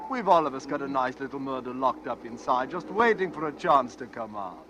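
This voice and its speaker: An actor playing a smug, genteel stranger with a condescending, suave manner in an old-time radio drama. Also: male voice, medium pitch, very animated, moderate pace, close to an everyday voice. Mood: gleefully sinister. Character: smug, genteel, sinister male stranger boasting of murder. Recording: noisy vintage radio recording.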